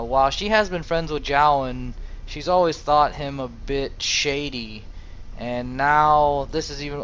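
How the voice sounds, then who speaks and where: A man's voice: mid-range voice, fairly bright tone. Guy narrating his game roleplay, improvised and hesitant, muffled lo-fi mic, faint noise behind. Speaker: video game let's player. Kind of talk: role-play narration over gameplay.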